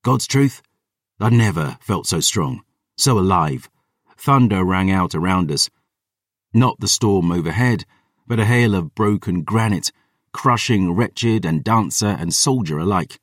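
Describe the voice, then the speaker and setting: A man's voice: low-pitched, expressive, a little slow, dry recording, clean studio sound, distant mic. A man voicing a proud, battle-hardened female vampire warrior, telling of battle in an intense, grave, theatrical way. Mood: grim, intense. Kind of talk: dramatic first-person storytelling.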